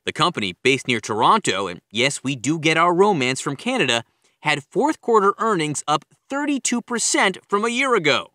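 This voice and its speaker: A man's voice: on the high side, big pitch swings, normal speed, sharp tone, no echo, studio quality. A man reading a scripted news feature as a reporter, with wry, playful delivery. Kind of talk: scripted narration.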